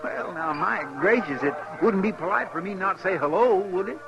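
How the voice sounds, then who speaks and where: A man's voice: sits high, animated, moderate pace, vocal fry, highs cut off, over music. A man playing an eager, simple-hearted frontier deputy in a 1950s radio western, with an earnest rural American drawl. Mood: bashful, playful. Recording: noisy old radio recording.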